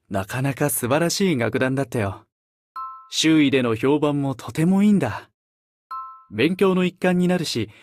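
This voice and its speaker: A man playing a courteous young gentleman, polite, soft and affectionate, gently persuasive as a game character. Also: fairly high pitch. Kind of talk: character voice acting.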